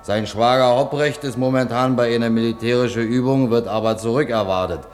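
A man's voice: medium pitch, a little fast, faint music behind. A man reading scripted narration as a radio drama announcer.

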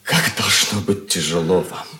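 An actor playing an earnest, hurt young son in a radio drama, speaking in measured, heartfelt phrases. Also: male voice, low voice, fairly even intonation, sharp tone. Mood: earnest reproach. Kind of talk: 1980s Soviet radio play acting.

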